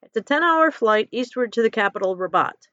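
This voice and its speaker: A woman's voice: a little high, expressive, brisk, pushed and bright, no echo, dull audio. A woman voicing a decisive, patient, strong construction worker man in a story, firm and matter-of-fact.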